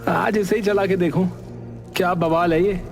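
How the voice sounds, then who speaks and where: A man's voice: sits high, steady tone, normal speed, vocal fry. A man playing a timid, troubled young village cook in a cartoon story, hesitant and questioning. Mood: irritated. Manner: hesitant, questioning, easily startled. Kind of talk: Hindi cartoon story acting. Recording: reverberant hall sound with faint music.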